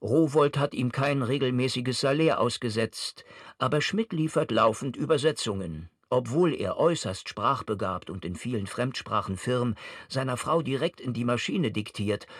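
An actor playing a dry, impersonal reporter in a radio play, delivering scripted narration in a husky voice. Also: male voice, mid-range voice, no room sound. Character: dry, impersonal male reporter-commentator on a writer.

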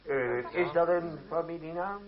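Man acting a blustering, slow theatre troupe leader. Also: a little high, crowd noise, natural voice. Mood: jovial. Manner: theatrical, hesitant muttering and spluttering. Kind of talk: radio drama acting. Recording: dull, muffled old radio play recording.

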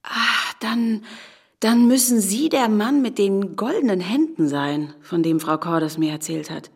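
A woman's voice: mid-range voice, lively intonation, unhurried, husky. A woman playing a sardonic bank branch manager in naturalistic German audio drama dialogue, bantering in an ironic, slightly testy way. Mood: teasing. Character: sardonic, joking married female bank branch manager, stranded.

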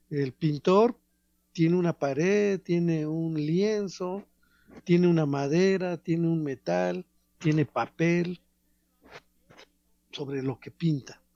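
A man giving a reflective radio talk on art, measured and professorial, pausing between phrases. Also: high-pitched, gravelly, a little mellow, no echo, highs cut off. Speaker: radio commentator.

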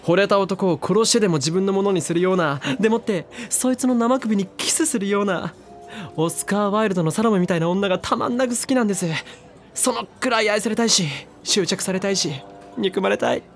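A man's voice: high voice, brisk. An actor in a drama CD playing a reckless, thrill-seeking young man who talks in slangy, clipped casual speech. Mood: musing. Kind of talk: drama CD acting. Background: background music.

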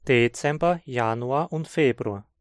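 A man from southern Brazil with a Hunsrik German accent, reading a scripted textbook dialogue in a slow, clear teaching manner. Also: mid-range voice, no room sound, studio quality. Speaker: language course reader.

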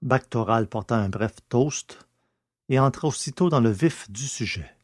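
Medium-pitched man narrating fiction. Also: expressive, measured pace, no room sound, clean studio sound.

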